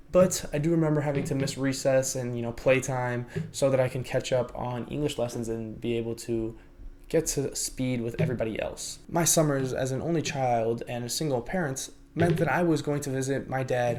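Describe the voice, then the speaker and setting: A man's voice: medium pitch, a little fast, darkish tone. A young man whose first language is Lithuanian, raised in the United States, telling his own life story in a reflective, rambling way and often hedging as he searches for words. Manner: reflective, rambling, often hedges with "I would say" and "you know". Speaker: vlogger. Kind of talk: personal life story, unscripted.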